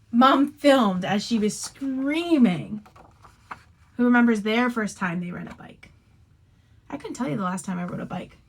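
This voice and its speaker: Female teacher, higher voice, unhurried pace, expressive picture-book read-aloud with casual instructive asides for young kids.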